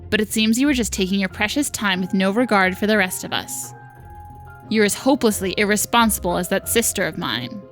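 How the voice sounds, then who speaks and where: A woman's voice: mid-range voice, animated, fairly quick, a bit bright, natural voice. A woman voicing a condescending, bossy young stepsister with a snide manner, reading visual novel dialogue aloud. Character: snooty, sharp-tongued young stepsister, scornful and bossy. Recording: music behind the voice.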